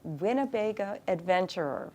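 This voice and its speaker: Actress playing an eager, chatty wife. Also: female voice, medium pitch, normal speed, a loud crowd, natural voice. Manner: chatty, excited, talks over her husband. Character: eager wife planning retirement travel.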